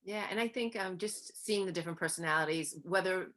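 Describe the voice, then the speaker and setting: A woman with a Boston accent hosts the interview in a slightly lowish voice. She chats easily and agreeably, filling her sentences with "you know". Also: a little fast, a bit bright. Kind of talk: conversational interview.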